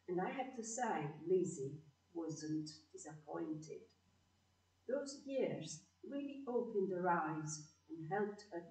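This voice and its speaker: An amateur actress plays an older woman, blunt but fond, telling a family tale for an audio play. Her voice sits a little low and she speaks slowly. It is recorded in a roomy space with plenty of echo. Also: dark tone. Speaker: narrator and actor in a community audio play. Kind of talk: storytelling in a community radio play. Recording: roomy echo, distant microphone.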